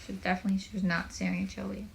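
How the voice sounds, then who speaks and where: A woman reading a children's book aloud as a teacher, in an expressive, animated way with chatty asides to young listeners. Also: medium pitch, rapid-fire, creaky, a little hiss or hum, low-quality recording.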